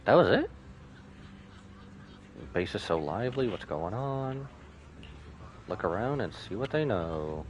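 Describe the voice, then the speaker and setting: A man's voice: lowish voice, very animated, slow, long pauses, a little hiss or hum. A man giving casual gaming commentary, musing aloud and reading dialogue between his asides. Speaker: game streamer.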